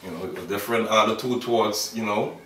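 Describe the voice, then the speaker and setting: A man's voice: lowish voice, fairly even intonation, fairly bright tone, distant mic, slight background noise. A man in his thirties with a Bahamian accent, speaking earnestly in an interview and stopping often to restart his sentences. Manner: earnest, halting, with many fillers and restarts. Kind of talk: documentary interview. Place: outdoors by a wall in a yard. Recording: echoey, off-mic camera sound.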